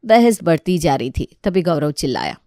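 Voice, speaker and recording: A woman's voice: low voice, sing-song, normal speed, dark tone, no echo, clean studio sound. A woman reading scripted narration of a comic family story as its narrator.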